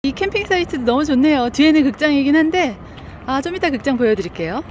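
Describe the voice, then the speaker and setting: Chatty high-voiced woman vlogging outdoors. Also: lively intonation, quick pace, a little mellow. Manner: chatty, exclaiming often. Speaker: vlogger touring a campground. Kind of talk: casual vlog narration. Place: outdoors at a forest campground. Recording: outdoor phone audio with clear background noise.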